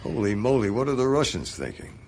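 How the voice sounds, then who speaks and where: An actor playing a shrewd senior male spymaster in a radio drama, brisk, probing and knowing. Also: medium pitch, sing-song, a little mellow, slight background noise. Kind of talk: naturalistic radio drama dialogue.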